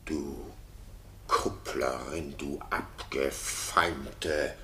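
Sharp-voiced wrathful jealous king. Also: a bass voice, steady tone, drawn-out words, reverberant, heavily acted. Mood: bitter, brooding. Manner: declamatory, commanding. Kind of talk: classic German radio drama stage acting.

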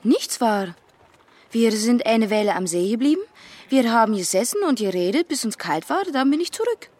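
A woman's voice: on the high side, very animated, moderate pace, a little mellow. A woman playing a shy, naive teenage butcher's daughter, hesitant and guarded, in a German radio crime drama. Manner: hesitant, guarded, simple short answers.

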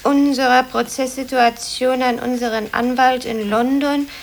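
A woman's voice: sits high, fairly even intonation, unhurried, creaky, slight background noise. An actress in a radio play voicing an impatient, curt female office typist, recorded on old tape. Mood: peevish, impatient. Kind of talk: 1950s German radio play acting.

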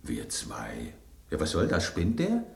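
An actor in a German radio drama playing an aging, worldly bread loaf who loves fine food, speaking in a theatrical, knowing, pointed way. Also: male voice, rumbling low, wildly swooping pitch, slow pace, vocal fry, a little mellow, reverberant, heavily acted. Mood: suspicious. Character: aging bread loaf, male, worldly gourmet, suspicious, self-assured.

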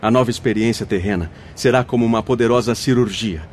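A man's voice: fairly low pitch, darkish tone, a little hiss or hum, lightly acted. A man acting a wise, comforting spirit guide in a radio drama, speaking formally and patiently, as if teaching. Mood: firm reassurance. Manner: measured, formal, didactic. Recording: music under the voice. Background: background music.

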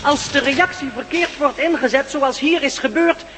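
A very high, cool alien voice, formal and precise, speaking as the last of his kind, as a man acts him out. The old radio play recording carries a clear hiss underneath. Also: moderate pace. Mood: detached. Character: calm alien survivor, last of his race.

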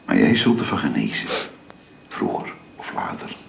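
Zeer diepe, krakende, zorgzame vader. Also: male voice, a bass voice, wildly swooping pitch, moderate pace, gravelly, full character voice. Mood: grave, tender. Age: middle-aged or older. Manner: gentle, solemn. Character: caring, grave father, fatherly and principled. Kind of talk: Dutch radio drama acting. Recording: roomy, faintly noisy radio play recording.

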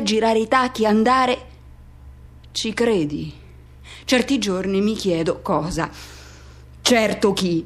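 Restless loner, high-voiced radio monologue. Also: female voice. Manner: colloquial self-questioning inner monologue, broken short phrases. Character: restless solitary middle-aged man revisiting his hometown.

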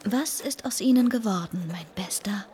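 An actress in a German radio drama playing a bored, coquettish Parisian mistress, languid and teasing. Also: female voice, mid-range voice, normal speed, warm tone, a little hiss or hum, close to an everyday voice. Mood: languid, coaxing.

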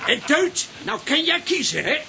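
A man's voice: very high voice, sing-song, moderate pace, gravelly, over a noisy crowd, exaggerated voice. An actor playing a sly, gleefully cruel henchman in a children's radio play.

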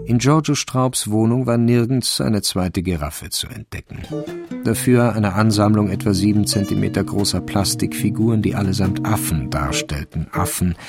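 An actor narrating a German radio crime drama as a wry storyteller, in a measured literary style. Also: male voice, low-pitched.

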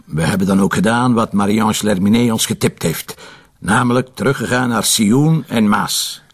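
An actor playing a confident, teasing Flemish police commissioner in a radio detective drama, with a raspy voice. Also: male voice, fairly low pitch, normal speed. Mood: matter-of-fact.

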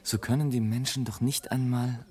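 An actor playing a scornful airship traveller in a radio play, delivering a sardonic, declamatory monologue as a bitter satirist of mankind. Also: male voice, medium pitch, fairly even intonation, moderate pace, a little mellow, natural voice. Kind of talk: literary radio play monologue, late 1980s. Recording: music behind the voice. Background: music.

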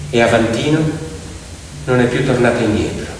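A man telling a story theatrically as a stage actor, with dramatic, drawn-out phrasing. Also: mid-range voice, lively intonation, noisy background. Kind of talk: theatrical narrative storytelling with piano. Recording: very echoey hall, distant, loud music. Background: loud piano music.

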